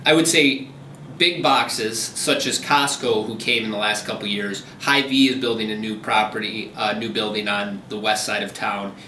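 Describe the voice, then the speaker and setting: A man's voice: fairly low pitch, normal speed, roomy sound, recorded from a distance, a little hiss or hum, poor audio. A man working as a commercial realtor, explaining local deals in a businesslike way during a phone interview. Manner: steady, businesslike explaining with frequent ums.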